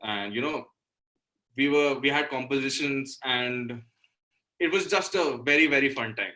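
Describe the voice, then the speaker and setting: A man's voice: medium pitch, unhurried, bright, forceful tone, poor audio. A young man from Delhi with an Indian accent, talking casually and self-deprecatingly as a musician guest in an interview. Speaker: interview guest, singer-songwriter and guitarist.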